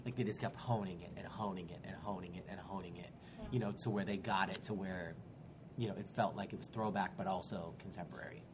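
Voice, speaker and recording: A man's voice: low voice, fairly even intonation, fast, noisy background. A man producer chatting casually, affirming with frequent okays and agreement. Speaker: producer listening to pitches. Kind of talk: casual chat.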